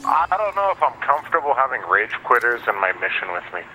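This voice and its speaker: A man's voice: mid-range voice, very animated, quick pace, pushed and bright, slight background noise. A male gamer chatting casually over online voice chat, sweary and sarcastic. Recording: phone-like voice chat with background voices.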